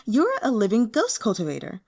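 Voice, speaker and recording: A woman's voice: on the high side, wildly swooping pitch, normal speed, a little mellow, exaggerated voice. A woman voicing a gleeful, predatory immortal woman with an eager, domineering way of talking, in an audiobook story.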